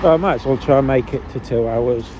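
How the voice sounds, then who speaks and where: A man's voice: mid-range voice, quick pace. A man with a cold, chatting casually to camera and muttering to himself outdoors. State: has a cold. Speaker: vlogger filming outdoors. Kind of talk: rambling casual chat to camera. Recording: outdoor traffic noise.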